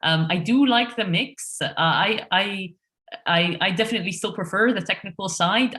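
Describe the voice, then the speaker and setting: A woman from Egypt answering interview questions on a podcast in a relaxed way, with frequent fillers and restarts. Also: on the low side. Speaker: podcast guest, head of SEO.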